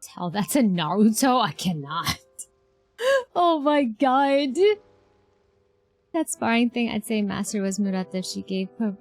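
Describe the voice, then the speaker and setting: A woman's voice: mid-range voice, expressive, measured pace. A woman streaming casually, chatting and thinking aloud as she reacts to a video game. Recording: game music behind the voice.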